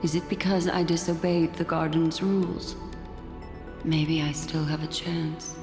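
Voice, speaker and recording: A woman's voice: low voice, flat delivery, a little slow. An actress playing an elegant, composed young woman in a video game, coy and politely sardonic. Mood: wry, composed. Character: elegant, teasing, composed young female memory keeper. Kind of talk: dramatic video game voice acting. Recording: long reverb, music underneath.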